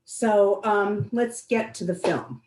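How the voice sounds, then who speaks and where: A woman's voice: mid-range voice, fairly even intonation, slow pace, darkish tone, reverberant, a little hiss or hum, rough recording. A woman moderating a film festival Q&A in a conversational interviewing style.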